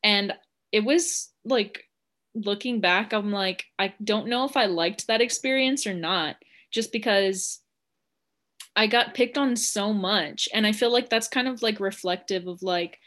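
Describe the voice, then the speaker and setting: A woman's voice: medium pitch, measured pace, a bit bright. A young woman co-hosting a casual podcast, talking frankly and filling her speech with "like.". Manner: chatty, heavy use of "like", frank. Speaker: podcast co-host.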